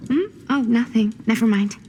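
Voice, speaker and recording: An actress playing a hesitant, guarded young woman in a video game, with a husky, creaky voice. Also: a little high, moderate pace, noisy background, lightly acted. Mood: awkward, shy. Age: young adult. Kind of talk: naturalistic video game acting.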